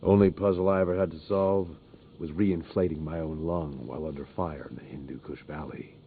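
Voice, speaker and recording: A man's voice: rumbling low, fairly even intonation, a little fast, narrow, tinny audio, slight background noise. A man playing a tough, battle-hardened mercenary in a video game, with a terse, hard-bitten delivery. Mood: cold bravado.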